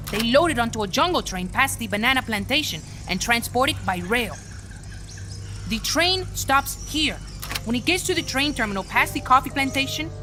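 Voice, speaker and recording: Woman playing a high, lively young boy. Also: natural voice. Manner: eager, exclamatory. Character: excitable, naive young boy guerrilla, curious and eager. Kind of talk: video game cutscene acting.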